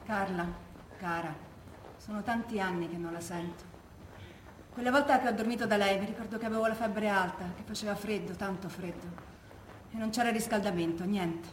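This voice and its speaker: An actress plays a woman who once fought in the resistance. Her medium-pitched voice is intimate and emphatic as she recalls old comrades, with room echo and faint noise around it. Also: steady tone, a little fast, lightly acted. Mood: tender. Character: German-speaking former partisan woman, tender, remembering a comrade. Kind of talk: stage monologue from oral testimony. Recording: roomy, echoey stage recording with noise.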